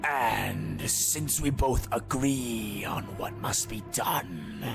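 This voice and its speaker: Very slow, gravelly scheming villain. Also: male voice, lowish voice, over music, heavily acted. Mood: quiet menace. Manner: slow, deliberate, insinuating, partly whispered. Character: sinister, zealous male inquisitor villain, coldly scheming monologue. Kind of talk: dramatic villain monologue.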